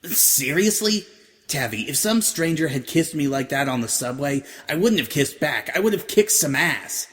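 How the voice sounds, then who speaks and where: A man's voice: on the high side, animated, moderate pace, a bit bright, breathy edge. A man voicing a brash, blunt young mare DJ who teases and wisecracks. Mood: pushy.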